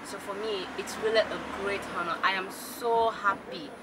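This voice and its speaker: A woman's voice: medium pitch, fairly even intonation, a little slow, noisy background. A woman in her twenties, a pageant contestant speaking earnestly and effusively about herself. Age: 20s. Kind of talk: spoken self-introduction.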